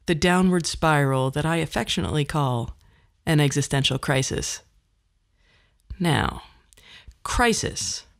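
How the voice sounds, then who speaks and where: A middle-aged woman delivering a scripted podcast monologue as host, phrasing things in a wry, deliberate way. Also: lowish voice.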